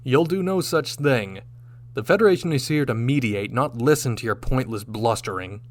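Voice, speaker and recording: A man's voice: mid-range voice, moderate pace, natural voice. A man playing a confident, dashing starship captain, commanding and wry, in a sci-fi radio drama. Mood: stern, wry.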